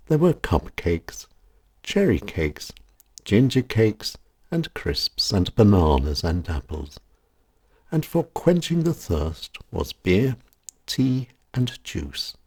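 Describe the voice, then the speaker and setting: A man reads a nostalgic scripted story in a measured, deliberate way with dramatic pauses. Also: low-pitched, a little mellow, breathy edge. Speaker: storyteller reading own written piece.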